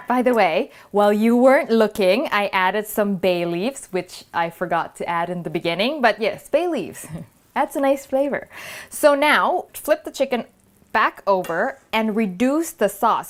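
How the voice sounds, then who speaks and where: A woman hosting a cooking video, chatting casually and encouragingly as she cooks at the stove in a kitchen. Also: on the high side. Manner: chatty, encouraging, thinking aloud while cooking. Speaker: cooking show host. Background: food sizzling in a pan.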